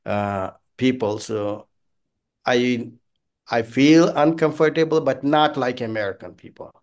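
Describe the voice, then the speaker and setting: A middle-aged man from Pakistan, a native Punjabi speaker with a Pakistani accent, chatting as an interview guest in halting, non-native phrasing. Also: medium pitch, a little mellow. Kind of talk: casual conversational interview. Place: small indoor office, on a video call. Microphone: video call.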